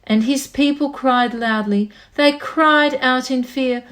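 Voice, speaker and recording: A woman's voice: sits high, slow, a bit bright. A woman reading a children's story aloud expressively as narrator. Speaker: storybook reader.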